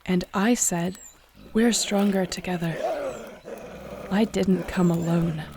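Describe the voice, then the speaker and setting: A woman playing a defiant, resolute wolf shifter heroine in an audio drama, speaking with steady confidence. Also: on the low side, takes it slowly, background noise. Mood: triumphant, cold. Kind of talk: audio roleplay drama acting.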